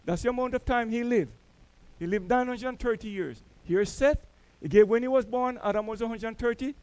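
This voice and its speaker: A middle-aged man from Jamaica with a Jamaican accent, preaching a sermon as a church elder and telling stories that swell louder on key words. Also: very high voice, a little fast, clean tone, no echo.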